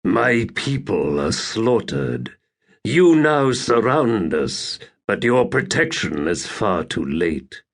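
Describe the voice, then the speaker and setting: Man doing a low, growling, bitter warrior. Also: big pitch swings, takes it slowly, vocal fry, heavily acted. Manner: growling, grim, terse. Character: gaunt albino dragon-shifting male warrior, bitter and weary. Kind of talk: dramatic audiobook character reading.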